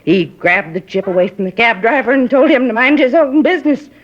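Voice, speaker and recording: A chatty, prying middle-aged neighbor, played by a woman, rambling on in folksy, confiding talk. Her voice is a little husky, heard through a dull lo-fi 1950s radio recording. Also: a little high, brisk, close to an everyday voice. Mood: gossiping. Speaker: apartment neighbor questioned by police. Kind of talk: 1950s radio drama acting.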